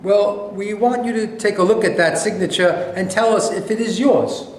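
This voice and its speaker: A man's voice: sits high, normal speed, natural voice. A man acting the part of a formal, insistent government investigator in a staged reading of a play. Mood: firm, pressing. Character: firm, formal male government war-crimes investigator. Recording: very echoey room, light noise.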